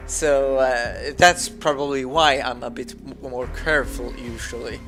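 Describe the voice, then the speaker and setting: A man's voice: medium pitch, steady tone, measured pace, fairly bright tone, faint music behind. Hesitant Swedish-accented man. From Sweden. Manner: hesitant, many fillers and restarts. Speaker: gaming YouTuber. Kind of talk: casual gameplay commentary.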